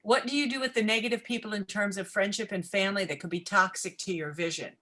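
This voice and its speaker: A moderator reads audience questions aloud in a bright, sharp-edged voice, hesitating with fillers before each one. The delivery is plain and unhurried. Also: medium pitch.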